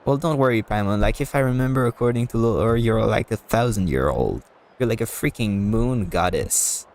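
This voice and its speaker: A man's voice: on the low side, expressive, moderate pace, darkish tone. A man streaming a video game, chatting casually in a rambling, self-deprecating way. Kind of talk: casual livestream chat and game commentary.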